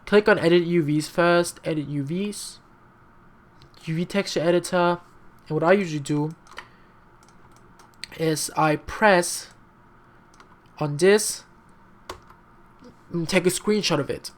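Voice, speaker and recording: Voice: medium pitch, slow pace, long pauses. Young non-native english speaker doing a casual screen tutorial, rambly, says um and like a lot, keeps apologizing, bit sassy. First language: not English. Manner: rambling and chatty, many fillers, often apologizing; frank, slightly sassy asides. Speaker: tutorial maker.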